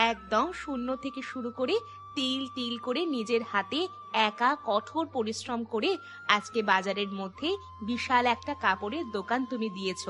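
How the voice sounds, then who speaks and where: A woman's voice: on the high side, fairly bright tone, natural voice. A woman voicing a friendly village customer in a moral-story cartoon, chatty, emphatic and neighbourly. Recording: background music under the voice.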